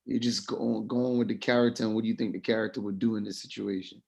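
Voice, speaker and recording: Creaky voiced guy, kinda low, chatting casual n loose like an actor sharing experience. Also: fairly even intonation, quick pace, darkish tone. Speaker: participant with acting experience. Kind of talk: casual group discussion.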